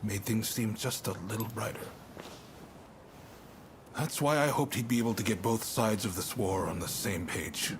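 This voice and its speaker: A man's voice: lowish voice, moderate pace, pauses often, a little hiss or hum, close to an everyday voice. A man playing a reflective, wry mentor in a samurai video game, speaking in a conversational, musing way. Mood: wistful, musing. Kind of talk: video game cutscene acting.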